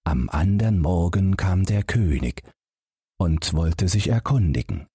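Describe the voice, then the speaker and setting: A man's voice: deep voice, animated, takes it slowly, dark tone, breathy edge, no echo, clean studio sound. A man narrating a fairy tale as a scripted bedtime audiobook.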